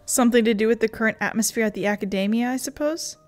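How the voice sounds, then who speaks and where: Higher-voiced woman reading game lines. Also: quick pace, faint music behind. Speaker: let's play host reading along.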